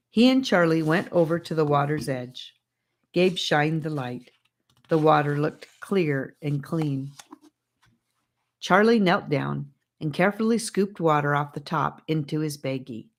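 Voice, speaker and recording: A woman's voice: low-pitched, lively intonation, unhurried. A woman reading a children's adventure story as an audiobook narrator, in scripted narration.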